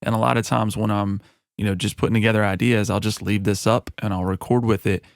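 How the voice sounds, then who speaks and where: Chill guy w a lowish voice walking thru his mix, lots of "you know" and "uh", dry close broadcast mic. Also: fast, vocal fry, no echo. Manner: relaxed and conversational, with frequent fillers. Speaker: music producer and recording artist. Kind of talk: mixing tutorial walkthrough. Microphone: broadcast studio microphone.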